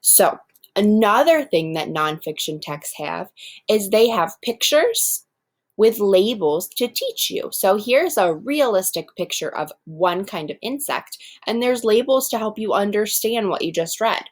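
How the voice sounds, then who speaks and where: A woman's voice: medium pitch, expressive, unhurried. A woman teaching first graders in a read-aloud lesson, explaining step by step and speaking directly to her pupils.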